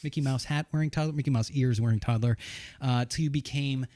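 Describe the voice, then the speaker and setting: A man's voice: mid-range voice, fast, mellow, dry recording. A man from New Hampshire hosting a casual podcast interview, bantering and laughing easily, with rambling asides. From New Hampshire, USA. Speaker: podcast host.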